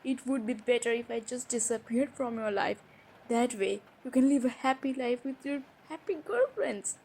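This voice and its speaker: A woman playing a hurt, defensive young woman in an emotional romantic roleplay, speaking haltingly with pauses. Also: on the high side, expressive, a little mellow. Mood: indignant. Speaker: audio roleplay performer. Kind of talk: improvised romantic roleplay drama. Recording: noisy background ambience.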